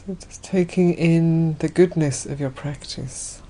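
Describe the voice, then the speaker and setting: A woman leading a guided meditation as a Buddhist nun, speaking gently in unhurried, spacious phrases. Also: low-pitched.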